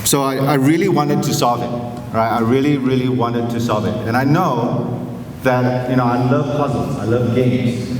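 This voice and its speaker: A male teacher with a Chinese accent giving an earnest, gently self-deprecating speech to students, with many pauses and fillers. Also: medium pitch. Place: large school assembly hall. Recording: echoey hall from far off with noise.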